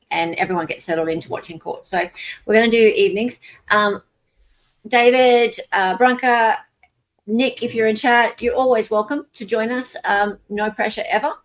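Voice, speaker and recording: Chatty woman hosting, casual and emphatic. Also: medium pitch. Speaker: livestream host. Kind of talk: casual livestream chat.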